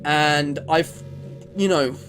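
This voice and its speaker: A man's voice: fairly high pitch, steady tone, very slow, a bit bright, poor audio. A young man livestreaming a video game, chatting casually with viewers in a rambling, self-mocking way. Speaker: gaming streamer. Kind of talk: casual live-stream chat. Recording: game music in background.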